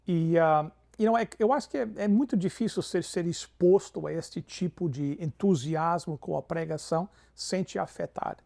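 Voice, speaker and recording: Gravelly pastor, warm tone. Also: male voice, a little high, moderate pace, few pauses. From Canada. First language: English. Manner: peppers Portuguese with English fillers. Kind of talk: interview storytelling.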